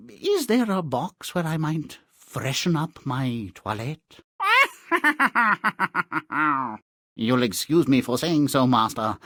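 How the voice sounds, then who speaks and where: A man voicing a sly, flattering trickster cat servant for a fairy tale video game, with oily mock politeness and purring insinuation. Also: a little high, no echo, clean studio sound, exaggerated voice.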